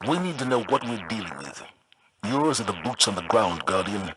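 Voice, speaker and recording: An actor playing a stern, solemn military commander in a sci-fi video game. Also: male voice, fairly low pitch, big pitch swings, normal speed, very noisy. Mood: grave, commanding. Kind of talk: scripted video game voice acting.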